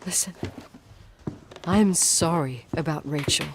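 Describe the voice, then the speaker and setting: A woman's voice: sits low, takes it slowly. A woman playing a strong-willed, hurting young woman in dramatic game dialogue, emotionally direct, with some noise behind her. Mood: pained, regretful. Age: young adult.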